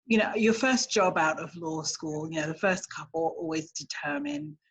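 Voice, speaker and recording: A woman with a London English accent, speaking as an immigration lawyer guest in a casual interview, thoughtful and halting with many fillers. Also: fairly low pitch, sing-song, fairly bright tone, husky. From England.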